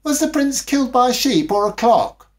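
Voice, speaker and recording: A man's voice: extremely high pitch, big pitch swings, moderate pace, pitched above the performer's own voice. A man voicing curious, eager young children in a storybook reading, with wide-eyed questioning. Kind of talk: storybook character voices.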